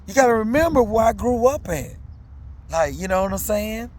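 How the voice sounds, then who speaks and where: A man's voice: sits high, normal speed. A father in his thirties or older, telling his own story in candid, slangy talk, repeating himself and asking listeners if they follow. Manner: animated, repetitive, slangy storytelling with many asides to listeners.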